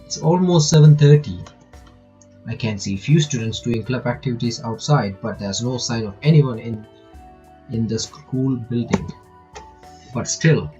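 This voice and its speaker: A man giving casual playthrough commentary and reading game text aloud, halting and often repeating his words. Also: medium pitch, dark tone, dull audio, faint music behind. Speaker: gaming reaction host.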